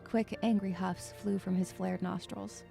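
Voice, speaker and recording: A woman's voice: medium pitch, level tone, a little slow, vocal fry, over music. A woman playing an anxious, withdrawn young woman who narrates her own story in a dramatic, introspective and sometimes hesitant way. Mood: tense narration. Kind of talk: first-person dramatic narration with dialogue.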